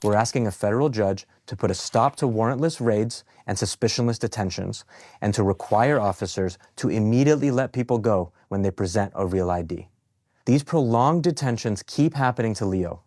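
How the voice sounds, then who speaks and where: A man narrating from a script as a legal advocate, speaking in a measured, earnest way. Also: lowish voice, expressive, a little mellow, dry recording, clean studio sound.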